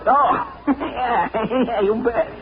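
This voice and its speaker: An actor playing a menacing, brutish male thug, speaking in a gruff, curt way. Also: very high voice, slow pace, heavily acted. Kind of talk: 1940s radio acting. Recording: tinny, hissy 1940s radio broadcast.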